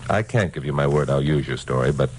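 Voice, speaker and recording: An actor playing a wry, hardboiled newscaster detective with a breezy, clipped delivery in old radio drama style. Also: male voice, extremely low pitch, quick pace, darkish tone, a little hiss or hum, rough recording. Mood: businesslike. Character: wisecracking hardboiled newscaster detective narrating his case. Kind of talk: 1950s radio detective drama acting and narration. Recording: old radio recording, music behind.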